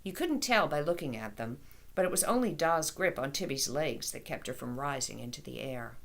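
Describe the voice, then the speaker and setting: A woman author reading a children's story aloud in an expressive storytelling style. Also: sits low, normal speed, vocal fry.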